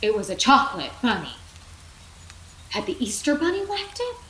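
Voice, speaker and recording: Animated woman reading a bedtime story. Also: fairly high pitch, moderate pace. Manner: animated, theatrical, with dramatic whispers and asides. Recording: roomy echo, clear background noise.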